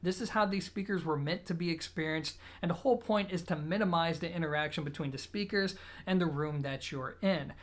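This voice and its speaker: A man speaking as a product reviewer, explaining in a steady, relaxed way. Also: on the high side, fairly quick. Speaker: audio equipment reviewer.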